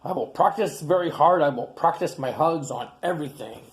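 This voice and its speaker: A woman's voice: low-pitched, brisk, lightly acted. A woman voicing a tiny, earnest young male dinosaur in a read-aloud children's story. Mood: determined.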